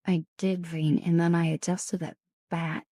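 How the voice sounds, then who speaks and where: A woman streamer chatting casually with viewers in a soft ASMR style, her voice raspy and deeper than usual, often slipping into whispers. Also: level tone, a little slow, dry recording, clean studio sound. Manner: chatty, greets and thanks viewers.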